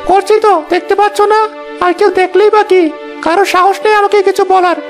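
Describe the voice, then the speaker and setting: A woman voicing a cruel, violent village husband in an animated horror story, harsh and threatening. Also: extremely high pitch, fairly quick. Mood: callous. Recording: music under the voice.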